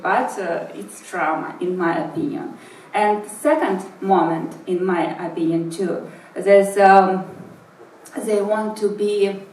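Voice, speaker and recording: Russian-accented woman talking slow, lots of uh and um, roomy sound, mic kinda far off. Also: on the low side, a little mellow, slight background noise. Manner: hesitant, many pauses and fillers. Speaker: interviewee. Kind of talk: thoughtful spoken answer in English.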